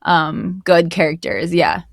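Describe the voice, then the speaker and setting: Low-pitched woman chatting as interview guest. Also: expressive, slow, gravelly, no echo, clean studio sound. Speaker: guest, audio drama narrator. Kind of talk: casual conversational interview.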